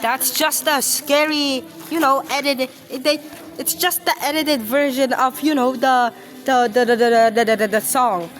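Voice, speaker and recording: A woman giving casual reaction commentary, speaking haltingly and often repeating and stumbling over her phrases. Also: high voice, steady tone, a bit bright, soft background music. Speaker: reaction video host.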